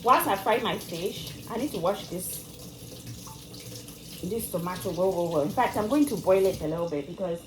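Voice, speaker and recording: A woman's voice: medium pitch, lively intonation, moderate pace, background noise. A woman in her thirties with a Ghanaian accent, chatting casually to her camera while she cooks in a home kitchen. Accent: Ghanaian, mixed with Italian and British touches. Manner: chatty, lots of "like" and restarts, shifts accents playfully. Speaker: vlogger. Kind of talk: casual vlog chat while cooking. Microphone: phone camera on the counter, filming from below.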